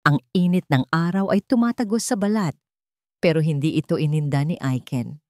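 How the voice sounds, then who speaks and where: A woman's voice: low voice, moderate pace, mellow, no echo, studio quality. A woman with a Filipino accent telling a romantic drama as a scripted storyteller.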